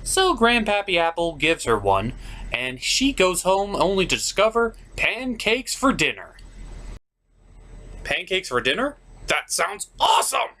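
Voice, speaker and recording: Male host for scripted comic review narration, theatrical and exclamatory, with lively swings in pitch. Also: measured pace.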